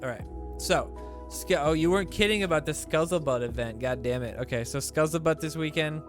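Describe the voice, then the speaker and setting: A man's voice: fairly high pitch, brisk, soft background music. A man hosting a gaming live stream in casual commentary, sounding stuffy and rambling with lots of fillers and restarts. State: stuffy nose.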